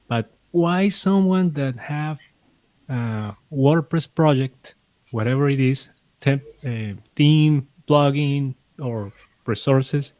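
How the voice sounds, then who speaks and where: Slow-talking interviewer from Colombia. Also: male voice, medium pitch, a little mellow, poor audio. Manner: questioning, some repeated words. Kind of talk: casual remote interview.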